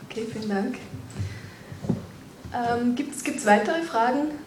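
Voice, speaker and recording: A woman moderating a public panel discussion in a brisk, polite way. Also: fairly high pitch, noisy background. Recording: roomy hall, distant mic.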